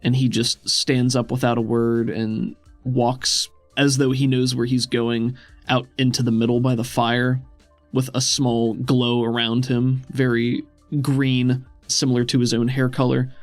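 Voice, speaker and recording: A man's voice: mid-range voice, level tone, unhurried, no echo. A man working as game master, narrating a tabletop roleplaying scene in a conversational, descriptive way. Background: music.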